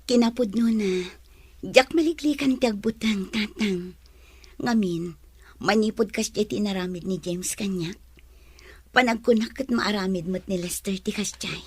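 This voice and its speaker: A woman playing a shy, anxious young woman in an audio drama, hesitant and soft-spoken. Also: mid-range voice, unhurried, slightly rough. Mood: wistful. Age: young adult.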